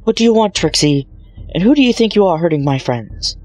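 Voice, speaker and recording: A man's voice: high-pitched, lively intonation, a little fast, pitched above the performer's own voice. A man voicing an earnest, indignant young female pony in a fan fiction story reading, with an emphatic manner. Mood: angry.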